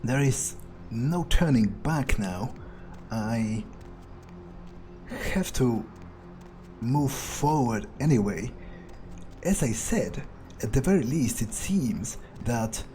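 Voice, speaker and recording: A man's voice: medium pitch, warm tone. A man playing a cynical, menacing vampire who muses aloud in a brooding, profane way, as in-character game roleplay. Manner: brooding, hesitant, profane musing. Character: cynical, menacing male vampire talking through his thoughts. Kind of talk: in-character gameplay roleplay monologue.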